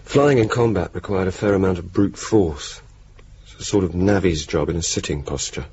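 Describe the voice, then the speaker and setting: An actor playing a blunt, cynical young RAF officer with a dry, decisive manner in a radio drama. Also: male voice, sits low, normal speed, darkish tone. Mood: weary, reflective. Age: young adult. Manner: dry, sardonic, sweary, decisive. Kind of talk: radio drama acting, dialogue and narration. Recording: muffled, noisy old radio broadcast recording.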